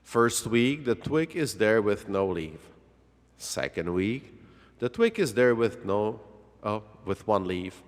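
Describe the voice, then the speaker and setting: Slow, low-voiced male storyteller in heavy reverb. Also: very animated. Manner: dramatic, with drawn-out words and exclamations. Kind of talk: dramatic read-along children's story narration. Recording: long hall-like reverb.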